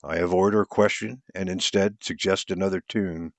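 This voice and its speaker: A man's voice: deep voice, steady tone, moderate pace, gravelly, no room sound. A man narrating a first-person romance story as an audiobook reader, in a dramatic storytelling style.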